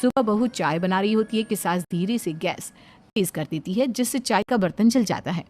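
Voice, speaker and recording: A woman's voice: medium pitch, normal speed, a little mellow. Woman narrating over faint music. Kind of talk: dramatic scripted storytelling.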